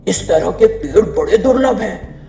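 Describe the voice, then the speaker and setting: A man's voice: high voice, expressive, a little slow, vocal fry, mellow, echoey room, heavily acted. A man voicing a power-hungry, menacing female ghost in a story, gloating and boastful as a villain. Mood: scheming, gloating.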